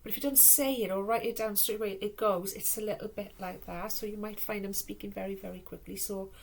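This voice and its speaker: Welsh woman, card reader, rambling fond chatter with whispered asides, mid pitch. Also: steady tone, vocal fry, darkish tone, low-quality recording. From Wales. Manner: chatty and meandering, fillers and restarts, addresses listeners as my loves; slow measured reading aloud from a card guidebook. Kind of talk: casual spoken card reading.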